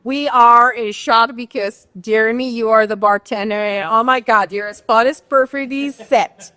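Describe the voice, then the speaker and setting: Bilingual woman host, pitched a bit high, effusive, dry sound. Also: a little slow, dry recording. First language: Spanish. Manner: effusive, animated. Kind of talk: enthusiastic bilingual interview. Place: restaurant.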